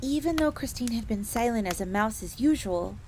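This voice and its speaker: A woman's voice: on the high side, animated, fairly quick, warm tone. A woman voicing a polite, eager fox maid assassin who tells her tale in the third person in an audio roleplay. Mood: earnest storytelling. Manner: refers to herself in third person, genteel. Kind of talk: in-character storytelling, audio roleplay. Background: crackling fire ambience.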